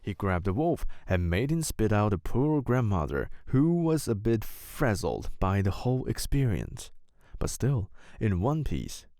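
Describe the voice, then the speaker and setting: A man narrating a bedtime story as a storyteller, expressive and deliberate. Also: fairly low pitch, dry recording, clean studio sound.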